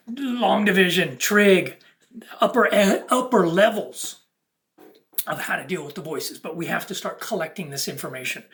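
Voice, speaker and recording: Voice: medium pitch, a bit bright. A speaker delivering an unscripted, preachy monologue to viewers in emphatic, broken-up phrases. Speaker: content creator.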